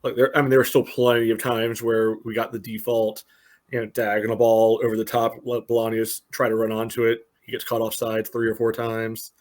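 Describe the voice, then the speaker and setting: Flat-toned, lowish-voiced man analyzing soccer. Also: fairly quick. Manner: peppers talk with "you know". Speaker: soccer podcast co-host and analyst. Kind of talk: casual game analysis.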